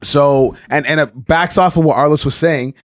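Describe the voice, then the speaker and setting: A man co-hosting a podcast in casual chat, his voice hoarse and scratchy, still tipsy and rambling with restarts. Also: fairly high pitch, moderate pace, dry recording, studio quality. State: still tipsy from the night before. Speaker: podcast co-host.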